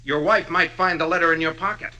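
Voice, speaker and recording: A man's voice: fairly high pitch, fairly even intonation, fast talker, pushed and bright. An actor playing a fussy, over-earnest, preachy drugstore delivery man in an old-time radio comedy. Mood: worried, righteous. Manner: preachy, melodramatic. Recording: noisy vintage radio recording with clicks.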